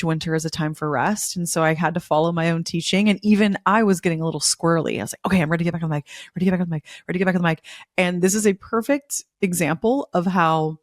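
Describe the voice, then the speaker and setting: A young woman hosting a spiritual podcast, a little hoarse from losing her voice, talking in an effusive, repetitive way. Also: fairly low pitch, no room sound, studio quality. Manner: effusive, repeats words for emphasis. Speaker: podcast host. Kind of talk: casual spiritual podcast monologue.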